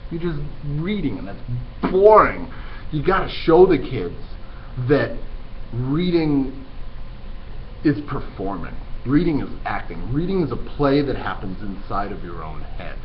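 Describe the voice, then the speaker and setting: A man's voice: mid-range voice, big pitch swings, unhurried. A man speaking as a teacher and podcast host, enthusiastic, emphatic and theatrical in his delivery. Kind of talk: enthusiastic talk and read-aloud demonstration. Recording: muffled recording with background noise.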